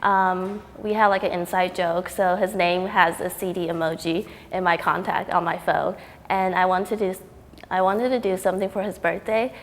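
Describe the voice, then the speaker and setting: Young woman from Taiwan, low gravelly voice, laid-back interviewee, echoing hall. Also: normal speed. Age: young adult. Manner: relaxed, frequent ums and likes. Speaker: student artist interviewee. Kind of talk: casual interview talk. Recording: reverberant hall with faint noise.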